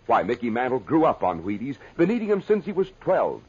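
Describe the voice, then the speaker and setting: A man's voice: mid-range voice, wildly swooping pitch, fairly quick, a little mellow, husky. A man with an American accent reading a 1950s radio commercial like an upbeat, folksy salesman. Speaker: commercial announcer. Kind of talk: 1950s radio advertisement read. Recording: dull old radio recording.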